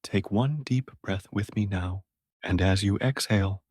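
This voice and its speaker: Low, clear-voiced man guiding sleep meditation. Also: no room sound, studio quality. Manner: gentle, calming, measured. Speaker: narrator of sleep meditation stories. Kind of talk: slow guided sleep meditation and bedtime storytelling.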